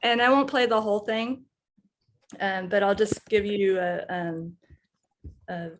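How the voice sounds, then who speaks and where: A woman's voice: mid-range voice, fairly even intonation, measured pace, a little hiss or hum. A woman college instructor giving a conference presentation. She explains a class assignment step by step. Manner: explanatory, walks through class materials step by step.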